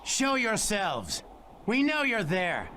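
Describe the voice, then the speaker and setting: A man's voice: sits high, wildly swooping pitch, slow, sharp tone, a little hiss or hum, heavily acted. A man voicing a formal, guarded adult ninja aide in a game cutscene. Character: loyal adult male ninja aide to a leader.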